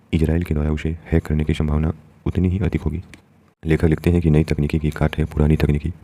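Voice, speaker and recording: A man's voice: a bass voice, warm tone. An Indian man presenting a news explainer, reading out and explaining an editorial in a didactic way, addressing listeners directly.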